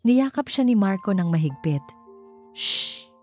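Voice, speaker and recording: Female romance narrator, deep and warm, sentimental storybook read, light music bed. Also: animated, normal speed. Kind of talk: scripted dramatic romance narration. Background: background music.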